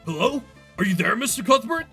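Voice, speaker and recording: A man puts on a gentleman mage from a visual novel. He is loud and forceful, with swooping pitch. His manner is formal and polite, yet fretful and urgent. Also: very animated, fairly quick, soft background music, heavily acted. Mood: urgent, worried. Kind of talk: visual novel character dialogue.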